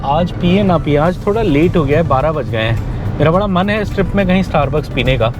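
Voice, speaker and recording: A man chatting casually to viewers while driving on a highway, thinking aloud with a slightly sore throat. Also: lively intonation, moderate pace, over music. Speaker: vlogger. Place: inside a car on a highway. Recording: loud road noise.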